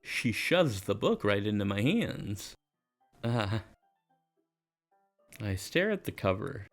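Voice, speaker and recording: A man's voice: lowish voice, big pitch swings, takes it slowly, faint music behind, full character voice. A man as an understated, awkward schoolboy narrator. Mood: dry, understated. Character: awkward, mild high school boy narrating his story. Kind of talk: first-person visual novel narration and dialogue.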